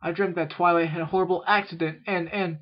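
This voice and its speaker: Excitable, anxious little filly unicorn played by a man, pitched well up, storybook reading, dull lo-fi sound. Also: fast. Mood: sleepy, shaken. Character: young female unicorn apprentice, excitable, anxious, eager. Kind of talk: fan fiction read aloud with character voices.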